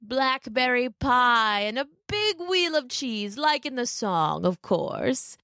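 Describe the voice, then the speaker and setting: Cunning male storybook wolf, performed by a woman: slow, insinuating, hungry, very close dry sound. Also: fairly high pitch, sing-song, pushed and bright, no echo, voice pushed up, heavily acted. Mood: sly, coaxing. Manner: slow, drawn-out, insinuating speech.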